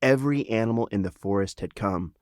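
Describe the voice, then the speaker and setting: A man's voice: on the low side, brisk, darkish tone, dry recording, studio quality. A man reading his own children's fantasy book as a scripted storybook narration.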